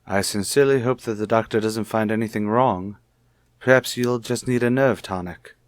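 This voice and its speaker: A man's voice: lowish voice, normal speed, no room sound, studio quality, natural voice. A man playing a suspicious husband in an old-time radio soap, speaking with formal, pointed politeness. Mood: coolly solicitous. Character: suspicious, coolly courteous husband, probing his wife.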